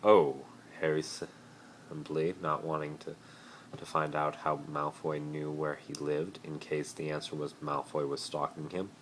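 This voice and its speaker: Very deep-voiced man reading a story. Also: little pitch movement, a little slow, fairly bright tone. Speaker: amateur story reader. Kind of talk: dramatic reading of fan fiction. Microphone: phone. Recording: noisy amateur phone recording.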